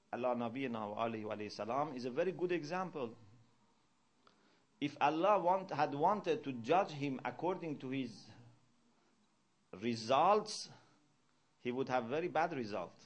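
A middle-aged man delivering a religious lecture, his voice sitting fairly high for a man. He teaches earnestly and patiently, often swelling in volume to stress a point. Short Arabic verses slip out quickly between his plain explanations. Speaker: Islamic lecturer. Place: mosque lecture hall.

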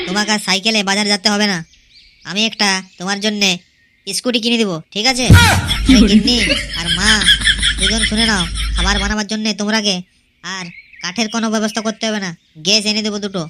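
Voicing a cocky nouveau-riche village youth. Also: female voice, medium pitch, steady tone, a little fast, very noisy. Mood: boastful. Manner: cocky, crude, swaggering.

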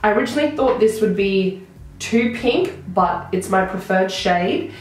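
Croaky woman vlogger in an echoey room. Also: mid-range voice, expressive, unhurried. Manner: chatty, enthusiastic product talk. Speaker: beauty vlogger. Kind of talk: casual makeup tutorial chat. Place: small room indoors.

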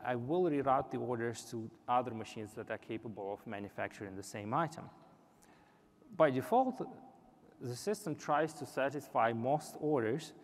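A man's voice: mid-range voice, vocal fry. A young man giving a live product demo on a conference stage, explaining earnestly with some restarts. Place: large indoor conference stage. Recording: reverberant conference hall.

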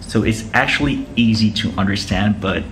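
A man's voice: fairly low pitch, moderate pace, fairly bright tone, slight background noise, poor audio. A young man with a Filipino accent explaining things to camera as a vlogger in a living room. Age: young adult. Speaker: vlogger, air traffic controller. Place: small living room, indoors. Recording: music playing behind.

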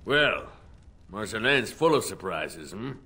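A gruff, cynical rogue in a video game. His voice sits fairly low with a sharp, forceful edge, and he talks in terse, dry, sardonic remarks. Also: sing-song, a little slow, heavily acted.

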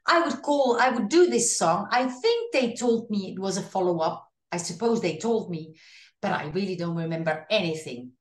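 A woman with a Dutch accent, a singer in her fifties, chatting in an animated way over a video call from her home with an earphone mic, often restarting her sentences. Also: mid-range voice, normal speed, echoey room. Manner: animated, gestures with hands while talking, frequent restarts. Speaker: singer being interviewed. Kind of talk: casual video call interview. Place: home room. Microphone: video call, earphone headset.

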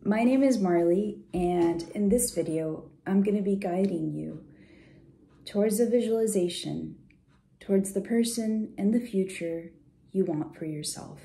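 A woman leading a guided visualization in a soft, gentle, soothing way, unhurried with pauses and at times breathy. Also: medium pitch. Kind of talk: guided meditation, soft slow instruction.

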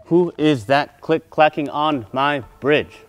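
A man voicing a mean, lumbering bridge troll who speaks in a slow, threatening way for a children's story. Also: on the high side, soft background music.

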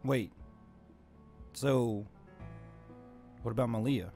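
A young man playing a confused teenage boy newly turned hellhound in a Minecraft roleplay drama, halting and stammering. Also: mid-range voice, mellow. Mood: worried. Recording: music behind the voice.

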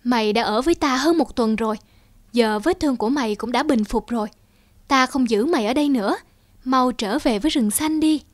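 A woman voicing a kind, gentle young man in a cartoon fairy tale, speaking tenderly. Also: on the high side, a little fast, no room sound, clean studio sound, close to an everyday voice. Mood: tender concern. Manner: tender, caring. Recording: music behind the voice.

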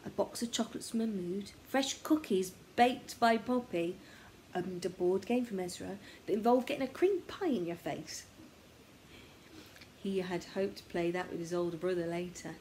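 A young woman reads a children's story in an expressive, theatrical way, often dropping to a hush, recorded in a small room at home. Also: medium pitch, normal speed. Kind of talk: animated children's story reading. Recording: noticeable background noise, home recording.

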